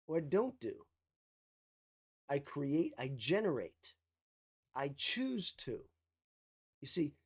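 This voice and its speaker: A mindset coach giving an emphatic motivational talk, repeating key phrases, with a slight cough. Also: male voice, on the high side, darkish tone, breathy edge.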